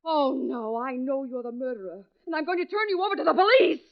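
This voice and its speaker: A woman's voice: sits high, animated, fast talker, creaky. An actress playing a frightened, defiant young woman under threat, melodramatic in 1940s radio mystery style, on a dull vintage recording. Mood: defiant, wary. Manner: melodramatic, emotionally wrought.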